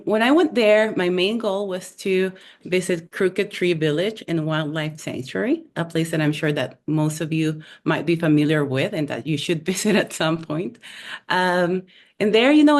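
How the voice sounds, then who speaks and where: A woman from Honduras telling a personal story over a video call, in an unhurried way with small fillers. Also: on the low side, gravelly.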